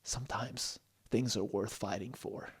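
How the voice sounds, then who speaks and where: Man voicing an earnest, halting young storybook rabbit. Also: mid-range voice, huge pitch swings, mellow, slightly rough, exaggerated voice. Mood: quietly resolute. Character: brave, injured young male rabbit.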